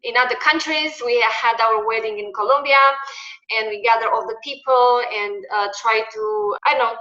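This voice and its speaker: A woman in her forties with a Romanian accent, telling her own story as a guest in a halting way, often repeating words. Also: fairly high pitch, bright, forceful tone, roomy sound, dull audio. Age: 40s. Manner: halting, repeats words, fights back tears. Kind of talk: emotional interview testimony.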